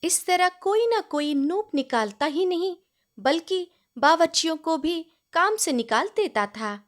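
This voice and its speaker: A woman's voice: very high-pitched, expressive, a little slow. A woman storyteller reading a scripted tale as narration.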